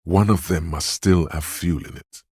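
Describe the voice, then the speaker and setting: A solemn, dryly wry narrator inside a detective's head in a video game. The voice sits extremely low and is thick with husky gravel. It moves in measured, literary phrases with deliberate pauses, recorded very dry and close. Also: male voice, fairly even intonation, a little mellow, dry recording. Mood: measured, matter-of-fact. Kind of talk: scripted game narration, second person.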